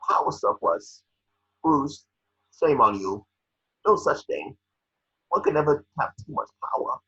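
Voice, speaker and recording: A man's voice: a little high, lively intonation, measured pace, bright, forceful tone, slightly rough, dull audio, voice pushed up, exaggerated voice. A man playing a scheming, blustering business tycoon in a pompous, pushy manner in an amateur script read. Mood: blustering, mocking.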